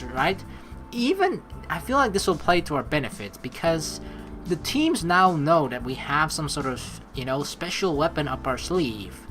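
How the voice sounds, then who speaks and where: Bright, expressive game commentator. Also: mid-range voice. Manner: expressive, chatty, swears casually. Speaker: let's play host. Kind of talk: casual game commentary mixed with reading narration aloud. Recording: game music under the voice. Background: game music.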